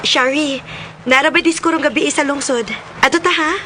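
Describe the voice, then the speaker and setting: A woman's voice: high-pitched, moderate pace, noisy background, natural voice. A woman with a Cebuano accent playing an eager young woman in a radio drama. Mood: coaxing. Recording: music behind voice. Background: music.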